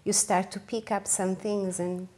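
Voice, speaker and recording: A woman's voice: mid-range voice, little pitch movement, a little slow, warm tone. A woman talk show guest recounting her experiences in an easygoing way. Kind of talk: casual chat.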